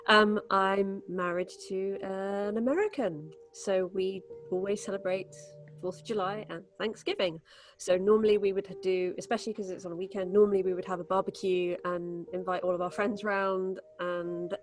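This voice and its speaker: British woman chatting casually, mid-pitched. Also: fairly even intonation, normal speed, faint music behind. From Britain. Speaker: voice actor guest. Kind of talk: casual chat.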